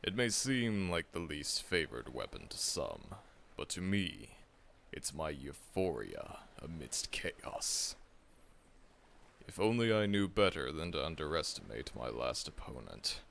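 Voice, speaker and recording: A man performing a seasoned monster hunter with a very deep, gravelly voice. He talks slowly, with a lively rise and fall, and chuckles often as he teases a newcomer in an audio roleplay. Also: a little hiss or hum, exaggerated voice. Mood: friendly. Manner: chuckling often, warm, teasing address to listener. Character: veteran male monster hunter, seasoned, friendly.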